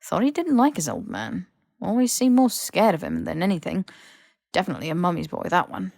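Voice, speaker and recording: A woman voicing a blunt, excitable, grumbling young British man in an audiobook story. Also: fairly low pitch, brisk, vocal fry, no echo, clean studio sound, heavily acted. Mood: smug, blunt. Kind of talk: audiobook character dialogue.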